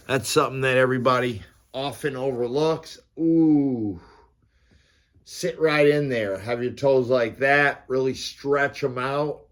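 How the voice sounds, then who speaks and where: A man's voice: medium pitch, fairly bright tone. Casual, encouraging man in his fifties. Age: 50s. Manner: informal, blunt, encouraging, mild slangy swearing. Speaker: fitness vlogger. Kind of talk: casual motivational talk to camera during a morning workout.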